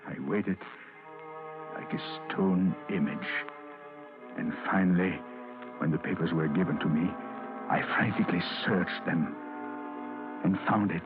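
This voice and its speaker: A man's voice: deep voice, measured pace, long pauses. An actor playing a frightened middle-aged businessman who fears arrest, narrating his own story in 1940s radio drama style. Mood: hunted. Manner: tense, anxious inner monologue. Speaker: narrator and lead character. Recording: muffled old radio broadcast with music.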